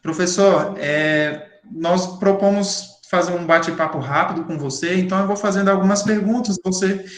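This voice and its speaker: A man's voice: high-pitched, normal speed, few pauses. A man hosting an online academic event, thanking the speakers formally and courteously and repeating words as he goes. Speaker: event host and professor. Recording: echoey room.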